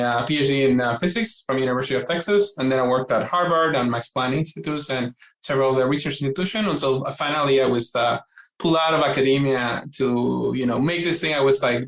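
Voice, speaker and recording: Puerto Rican man in an echoey room. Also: medium pitch. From Puerto Rico. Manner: eager and talkative, many fillers and repeated words. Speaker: guest, scientist. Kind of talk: podcast interview. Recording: roomy echo.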